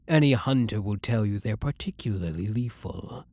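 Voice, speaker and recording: A man playing a blunt, dominant, centuries-old vampire hunter in an intimate ASMR roleplay, speaking deliberately and breathily with a commanding, interrogating manner. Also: on the low side, animated, a little mellow, no echo. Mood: grim, matter-of-fact.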